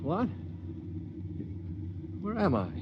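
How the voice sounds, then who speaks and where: An actor playing a well-off young bridegroom, polite but quick to indignation, in a 1950s radio drama. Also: male voice, high-pitched, sing-song, lo-fi sound, over music, exaggerated voice. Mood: indignant. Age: young adult. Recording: noisy vintage 1950s radio recording.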